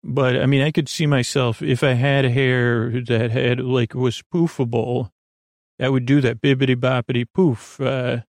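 A man hosting a sleep podcast in a rambling, halting monologue that drifts off into tangents and questions to himself. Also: medium pitch, steady tone, dry recording, clean studio sound. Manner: meandering, halting, trails off into tangents and self-questioning. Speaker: podcast host.

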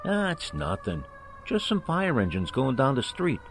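A man's voice: medium pitch, wildly swooping pitch, normal speed, a little hiss or hum. A man as Tony, wildly inflected gangster henchman. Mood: dismissive, tough. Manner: slangy, tough-guy talk. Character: Tony, male tough gangster henchman, wisecracking, callous. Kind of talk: 1940s radio crime drama acting.

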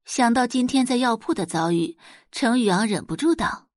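Brief: female narrator for serial fiction, lively intonation, medium pitch, close dry sound. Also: moderate pace, dry recording. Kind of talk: scripted audiobook narration.

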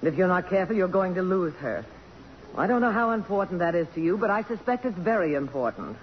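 An American actress playing a principled, defiant woman journalist with a crisp, cutting delivery in a 1950s radio drama. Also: on the low side, very animated, fast. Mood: earnest, pressing. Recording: dull, noisy old radio broadcast recording.

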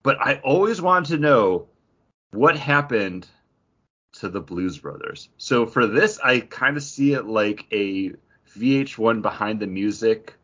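A man's voice: medium pitch, lively intonation, a little slow, a bit bright, highs cut off. A man co-hosting a podcast in casual, rambling pop-culture chat with some mild swearing. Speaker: podcast co-host.

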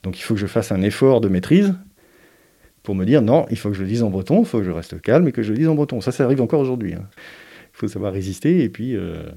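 A man giving an interview as a parent, speaking in a hesitant, thoughtful way with frequent pauses and fillers. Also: lowish voice, animated, creaky, dark tone, slightly rough. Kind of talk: reflective interview testimony.

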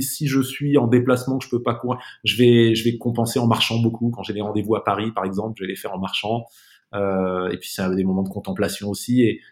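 Male podcast guest, lowish voice, reflective and conversational, gropes for words with frequent repeats. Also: a little mellow. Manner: hesitant, searching for words, repeats words. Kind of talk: casual reflective interview conversation.

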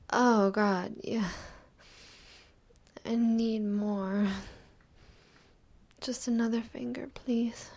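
Young woman, intimate roleplay, slow husky whisper with a gravelly edge. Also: on the high side. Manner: mostly whispering, soft and teasing. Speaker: audio roleplay performer.

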